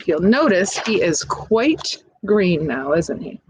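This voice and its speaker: A woman reading a picture book aloud expressively, pausing to chat to young listeners and ask them questions. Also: on the low side, big pitch swings, background noise. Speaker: storybook reader.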